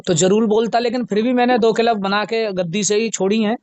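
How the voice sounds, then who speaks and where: A man's voice: sits high, fairly even intonation, fairly quick, darkish tone, dry recording. A man talking casually in a recorded phone conversation. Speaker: religious storyteller and singer with a studio. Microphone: phone call. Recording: dull lo-fi recorded phone call.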